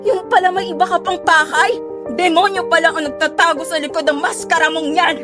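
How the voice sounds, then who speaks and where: A furious, defiant mother in an audio melodrama. Her voice is extremely high, and loud music plays underneath. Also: steady tone, fairly quick. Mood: indignant. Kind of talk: melodramatic audio drama acting.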